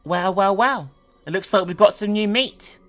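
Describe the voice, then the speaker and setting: A narrator putting on a cocky, high-pitched boy bully. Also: normal speed, fairly bright tone, faint music behind, close to an everyday voice. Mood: taunting. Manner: taunting, mock-polite, menacing. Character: cocky twelve-year-old boy bully on a skateboard.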